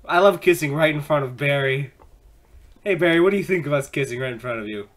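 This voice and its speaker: A man's voice: on the high side, quick pace, fairly bright tone. A man improvising a comedic fan dub with theatrical, exaggerated delivery. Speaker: amateur fan dub performer.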